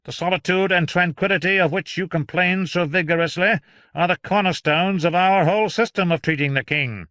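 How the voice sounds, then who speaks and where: A man's voice: sits high, fairly quick, fairly bright tone, no room sound, pitched above the performer's own voice. A man voicing a sarcastic male royal physician who speaks in a sneering, condescending way.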